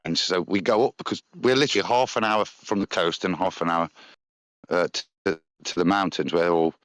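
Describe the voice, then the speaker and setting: A lower-pitched man with a Nottingham English accent talks in a chatty, rambling way, his voice swinging widely up and down as he tells stories. The recording is dry and close, with no room sound. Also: fairly bright tone, dry recording. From England. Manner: chatty storyteller with broad pitch swings and impressions. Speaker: panel guest. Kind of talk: casual chat on a live stream.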